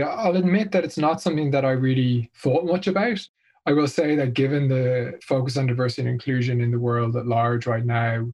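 A man's voice: mid-range voice, darkish tone. A man from Ireland answering interview questions as a senior marketing executive, in a conversational, explanatory way.

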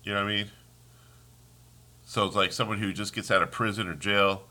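A man's voice: fairly low pitch, steady tone, fairly quick, sharp tone. A congested man hosting a solo podcast, talking in a heated, rambling rant with many restarts. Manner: heated, ranting, trails off and restarts. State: congested, sniffling. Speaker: podcast host. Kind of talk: casual solo podcast monologue.

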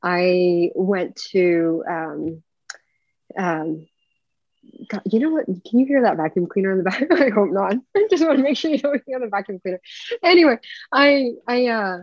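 A woman's voice: medium pitch, normal speed, dry recording. Woman, from Puerto Rico, chatty rambling guest, dull dry call audio. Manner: talkative, rambling storyteller. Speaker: actress guest. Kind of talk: casual interview conversation. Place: home room. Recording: dry, slightly dull lo-fi sound.

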